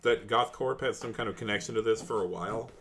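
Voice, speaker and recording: A man's voice: fairly low pitch, fast, fairly bright tone, a little hiss or hum. A man acting as game master in a casual tabletop role-play podcast conversation.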